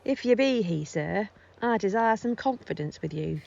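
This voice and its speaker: A woman acting an earthy, talkative nurse, blustering and fussy, with a mid-pitched female voice. It is theatrical Shakespearean delivery on a slightly muffled home phone recording. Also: expressive, moderate pace, creaky, darkish tone. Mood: polite, flustered. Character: garrulous, easily affronted, earthy female nurse. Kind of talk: Shakespeare audio drama acting.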